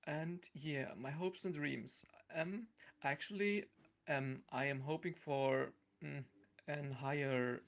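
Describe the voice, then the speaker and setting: A man giving casual interview answers as a podcast guest, halting and hesitant, on a dull lo-fi recording. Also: a little high, creaky. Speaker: podcast guest, audio play actor. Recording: dull lo-fi sound.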